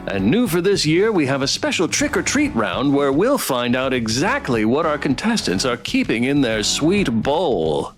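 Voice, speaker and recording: Man doing showy male announcer for a spooky game: highish, animated, theatrical, faint music. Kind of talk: reading visual novel dialogue aloud.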